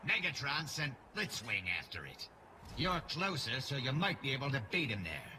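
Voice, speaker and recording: An actor playing a proud, irritable robot defector in a cartoon-style video game, speaking in a sardonic, curt manner. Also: male voice, medium pitch, wildly swooping pitch, normal speed, bright, forceful tone, slight background noise, full character voice. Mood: grudging.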